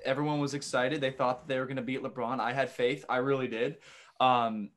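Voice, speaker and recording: An American man with an average-pitched voice hosts a podcast. He speaks in a casual, conversational way, mixing interview questions with sports chat. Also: level tone, a little fast, a bit bright, slight background noise. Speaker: podcast host.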